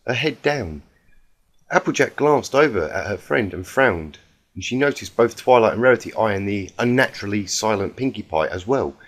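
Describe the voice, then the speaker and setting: A man narrating scripted fiction as a dramatic story reader. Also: mid-range voice.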